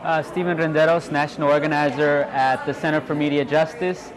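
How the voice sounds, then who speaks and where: A man's voice: a little high, steady tone, brisk, poor audio. A man facilitating a workshop, informally giving instructions to a group, recorded live in a room. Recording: live room with audience chatter.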